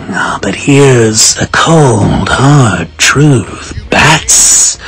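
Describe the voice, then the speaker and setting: A man's voice: medium pitch, vocal fry, a bit bright. A man playing a drugged, menacing supervillain madman in a comic dub, theatrical and mocking, drawing out words with gleeful hatred. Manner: drawn-out, theatrical, mocking delivery. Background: music.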